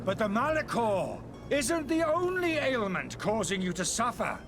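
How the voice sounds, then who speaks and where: High-pitched, grandiose street charlatan. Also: male voice, very animated, a little slow, over music, exaggerated voice. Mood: persuasive. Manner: grandiose, persuasive, declamatory. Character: smooth-talking male street charlatan hawking a miracle potion. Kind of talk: theatrical sales pitch.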